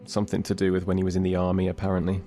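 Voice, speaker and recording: A low-voiced, wary survivor acted by a man in an audio drama. He is rough-mouthed and guarded, with faint music underneath. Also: completely flat delivery, racing, mellow, natural voice. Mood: wary, dry. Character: wary, sweary survivor trailing an old comrade. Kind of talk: amateur audio drama acting.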